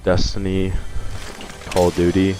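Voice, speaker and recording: A young man with a hoarse voice from being sick, rambling through a casual opinion vlog with frequent restarts. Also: low voice, completely flat delivery, unhurried, background noise. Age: young adult. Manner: rambling, hesitant, many restarts. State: hoarse from illness. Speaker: video game commentator.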